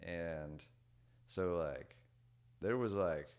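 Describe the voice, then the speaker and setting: A young man chatting casually as a podcast guest, rambling with lots of "like" and "dude" and letting his sentences trail off quietly. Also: deep voice, extremely slow. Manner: rambling, many "like" and "dude" fillers; relaxed, trails off quietly at ends of thoughts. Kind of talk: casual storytelling chat.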